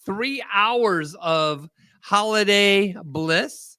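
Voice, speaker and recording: A man with a high, bright voice hosts a casual talk show, upbeat and chatty, now and then tripping over guests' names. He speaks close to a studio mic in a dry, dead room. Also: lively intonation, very slow, clear, resonant tone, dry recording. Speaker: podcast host. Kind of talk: casual chat.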